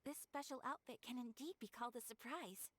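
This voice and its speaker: A woman voicing a gracious young noblewoman in video game dialogue, speaking calmly, politely and formally. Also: on the high side, brisk, background noise, lightly acted. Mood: gently pleased. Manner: calm, refined, formal, polite.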